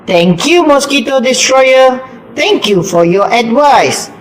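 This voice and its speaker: A woman's voice: sits high, expressive, slow pace, a little hiss or hum. A woman voicing an eager, polite young schoolboy in an amateur puppet show, with an exclamatory recited delivery.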